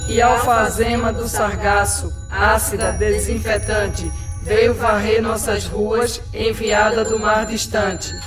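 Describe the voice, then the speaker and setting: A woman playing a villager who declaims joyful praise verse in an animated film. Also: mid-range voice, takes it slowly, close to an everyday voice. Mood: celebratory. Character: villager reciting joyful, reverent praise of a newborn. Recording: echoey, distant, under loud bell-like music.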